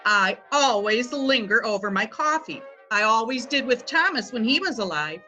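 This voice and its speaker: A woman's voice: on the high side, animated, moderate pace, pushed and bright, faint music behind. A woman playing a vain, proud, wealthy society dowager of seventy, haughty and imperious, reading in a readers theatre play.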